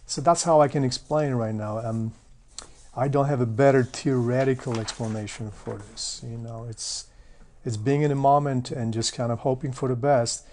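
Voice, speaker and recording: Man, mid-pitched, reflective interview guest who pauses to find his words. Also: fairly even intonation, a little mellow. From Poland. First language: Polish. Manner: thoughtful, searching for words. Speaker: guest, bassist. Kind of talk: casual interview talk.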